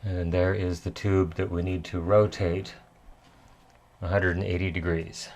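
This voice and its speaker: A man talking casually through a hands-on repair as he works, halting and muttering to himself. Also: sits low, level tone, a little mellow, a little hiss or hum. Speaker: electronics repairman presenting his repair.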